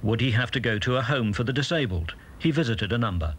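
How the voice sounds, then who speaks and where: A man with a mid-pitched voice narrates a documentary. His delivery is scripted, measured and factual, with clear background noise beneath it. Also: expressive, a bit bright.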